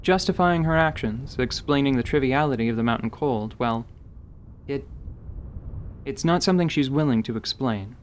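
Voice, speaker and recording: A man's voice: mid-range voice, moderate pace, slight background noise. A man giving scripted, present-tense narration for a fantasy audio drama.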